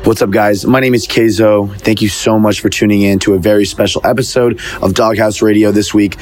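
A man's voice: fairly low pitch, fairly even intonation, brisk, a little mellow. A man hosting a radio show, introducing it casually and addressing his listeners directly. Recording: music playing behind the voice. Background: music.

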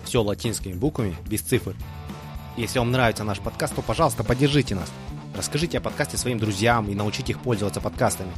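A man's voice: lowish voice, fast, over music. A male podcast host asking interview questions and reading the show outro in a polite, formal manner.

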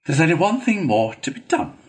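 A man plays a stern, fatherly old badger in a children's storybook. He lectures gravely and eloquently, with slow, deliberate phrases and broad rises and falls in pitch. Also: animated, fairly bright tone. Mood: solemn, firm. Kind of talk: storybook character reading.

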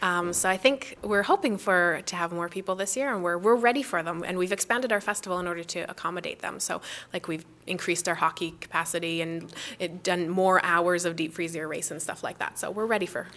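A woman with a voice a little on the low side talks casually in an interview. She is chatty and upbeat, doubling back over words as she explains things. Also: fairly quick, fairly bright tone. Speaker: festival organizer, interview guest.

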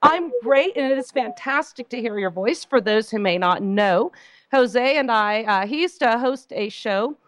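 Slightly hoarse woman radio host. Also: fairly high pitch, expressive, measured pace, no room sound. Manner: chatty, announcement-reading with frequent uh fillers. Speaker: radio talk show host. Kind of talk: call-in radio talk. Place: radio studio. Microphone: studio microphone.

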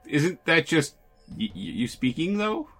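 A man's voice: fairly high pitch, big pitch swings, a little slow, fairly bright tone, soft background music. A man on a gaming podcast who speaks hesitantly with many "uh"s. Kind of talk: casual gaming chat.

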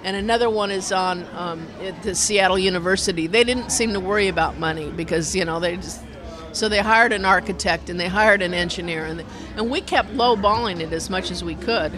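A community radio organizer talks in a casual interview at a mid-range pitch. The explanations ramble along with animation, circling back and piling up detail. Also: fairly quick, a crowd in the background. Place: inside a brewery restaurant.

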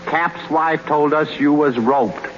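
High-voiced needling pal, noisy old radio. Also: male voice, takes it slowly. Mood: insistent. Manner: slangy, needling, tough-guy talk. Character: teasing, slangy male ballplayer pal, pressing for answers. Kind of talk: 1930s radio comedy acting. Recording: noisy, dull 1930s radio recording.

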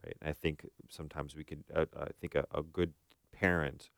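A man's voice: extremely low pitch, steady tone, brisk, gravelly, no echo. A man hosting a podcast in a casual conversational style, thinking aloud and often checking for agreement. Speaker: podcast host.

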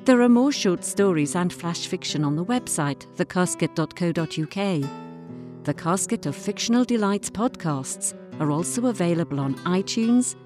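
A woman's voice: lowish voice, animated, moderate pace. A woman reading a scripted podcast credits announcement. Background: plucked guitar music.

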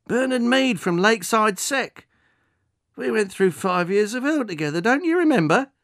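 Storyteller doing a cheery, coaxing older call-centre man; raised pitch, dry close mic. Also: very animated, normal speed, creaky, no echo. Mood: delighted. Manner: professional good cheer, coaxing. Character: old male drone delivery worker, falsely cheerful.

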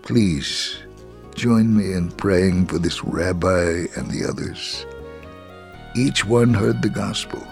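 Gravelly, low-voiced man telling a story. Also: slow pace, a little mellow, soft background music. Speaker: narrator reading a testimony story. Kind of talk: scripted dramatic story narration for radio.

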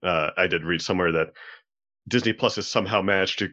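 Very deep-voiced man, dull audio. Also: fast talker, bright, forceful tone. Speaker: podcast guest. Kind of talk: casual discussion on a podcast.

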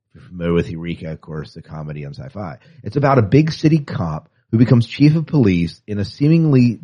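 A man's voice: on the low side, dark tone, slightly rough, highs cut off. A man co-hosting a podcast in casual, opinionated chat with wry asides, reading and commenting on news. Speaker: podcast co-host.

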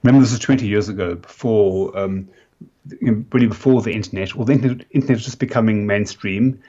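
A South African man in his fifties, a company founder giving a podcast interview, talking haltingly with many fillers and restarts. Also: lowish voice, mellow, husky. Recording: dull lo-fi sound.

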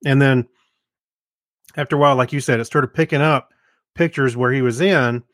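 A man's voice: medium pitch, steady tone, moderate pace, fairly bright tone, dry recording, clean studio sound. A middle-aged man co-hosting a podcast, chatting casually and telling personal anecdotes. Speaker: podcast co-host.

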